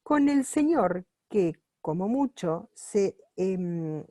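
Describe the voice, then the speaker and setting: A woman reading a book aloud while translating it on the fly, pausing often with fillers and restarts. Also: medium pitch, very animated, warm tone, no room sound, studio quality. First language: not Italian. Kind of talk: unscripted sight-translation reading.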